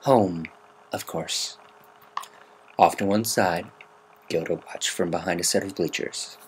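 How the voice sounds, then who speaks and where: An amateur reader giving an earnest, semi-dramatic narration of a fan fiction story on a noisy home recording. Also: low-pitched.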